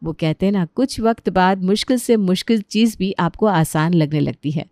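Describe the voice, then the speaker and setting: A woman's voice: fairly low pitch, moderate pace, a little mellow, no echo, studio quality. A woman giving scripted narration for a cartoon story.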